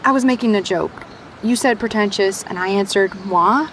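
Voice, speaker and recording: A woman's voice: mid-range voice, normal speed, lightly acted. An actress playing an earnest, cautious young woman historian who talks in a precise, prim, exasperated way in an audio drama. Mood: dry, pedantic. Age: young adult. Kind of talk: horror audio-drama dialogue. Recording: noisy street and vehicle ambience.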